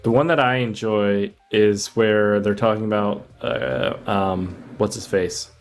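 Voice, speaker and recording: Lower-voiced man chatting about films. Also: steady tone, unhurried, faint music behind. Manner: informal, conversational. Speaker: podcast co-host. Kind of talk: casual film discussion.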